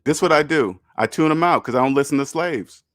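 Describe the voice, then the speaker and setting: A man's voice: fairly high pitch, fairly quick, fairly bright tone, no room sound, studio quality. A man in his fifties hosting a call-in money talk, emphatic and repeating his points. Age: 50s. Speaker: host.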